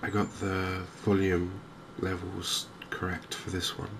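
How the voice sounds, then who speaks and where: A softly spoken man giving hesitant, unhurried ASMR-style commentary over a video game. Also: deep voice, completely flat delivery. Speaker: gaming video host. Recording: clear game ambience noise behind voice. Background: outdoor wildlife ambience from a fishing game.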